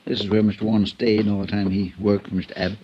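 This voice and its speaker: A man's voice: lowish voice, flat delivery, fast talker, very noisy, close to an everyday voice. An actor playing a nervous, deferential male ranch hand in a 1950s radio drama, polite and full of sirs. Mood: uneasy. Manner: polite, nervous, calls everyone sir. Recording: dull lo-fi old radio transcription.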